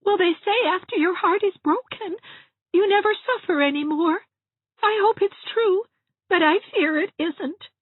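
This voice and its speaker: A woman's voice: very high-pitched, a little slow, no echo. Heartbroken, theatrical young woman voiced by a woman, tearful and self-pitying; dry, close sound. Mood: wounded. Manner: tearful, theatrical, sobbing delivery. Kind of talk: dramatized audiobook reading. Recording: tinny phone-like dry sound.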